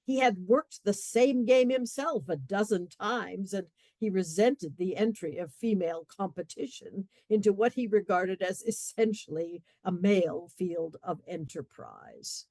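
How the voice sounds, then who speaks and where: A woman's voice: mid-range voice, a little slow, few pauses, a little mellow, no echo. A woman narrating a comic radio play in scripted storytelling style.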